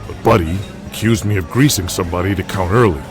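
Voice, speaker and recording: A man playing a calm, good-natured veteran pro-wrestler in an audio drama, speaking in a measured, conversational way. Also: sits low, natural voice. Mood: frank recounting. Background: music.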